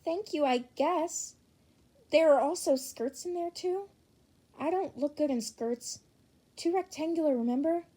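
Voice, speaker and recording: A woman's voice: high voice, unhurried, warm tone, pitched above the performer's own voice. A woman voicing a shy, modest teenage boy with a mild, earnest and hesitant manner in a fan fiction reading. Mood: bashful.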